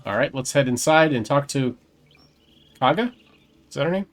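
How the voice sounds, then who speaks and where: A man's voice: medium pitch, animated, moderate pace. A man streaming a game, mixing casual commentary with reading his character's dialogue aloud. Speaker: gaming streamer voicing his player character.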